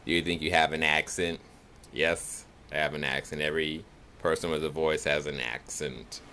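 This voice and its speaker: A young man from the Chicago area with a Chicago accent, talking in a nasal, monotone way for a casual accent challenge video. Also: a bass voice, moderate pace, slight background noise. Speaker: vlogger.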